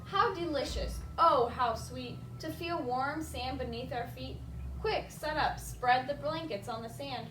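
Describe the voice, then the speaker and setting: A woman reading a rhyming picture book aloud as a children's librarian, expressive and speaking directly to young listeners with little asides. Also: high-pitched, unhurried, background noise. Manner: expressive, addresses children directly with simple questions. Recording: roomy echo, distant mic, music behind.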